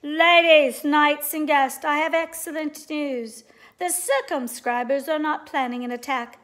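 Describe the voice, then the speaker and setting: A woman voicing a commanding, fair-minded storybook king with declamatory, measured proclamations to his knights. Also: sits high, a bit bright. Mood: proud.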